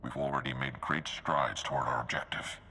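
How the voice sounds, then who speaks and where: An actor playing a commanding, idealistic Jedi master with a deep, gravelly voice in a video game. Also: male voice, fairly even intonation, moderate pace, sharp tone, long reverb tail, slight background noise, heavily acted. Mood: determined. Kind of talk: video game cutscene acting.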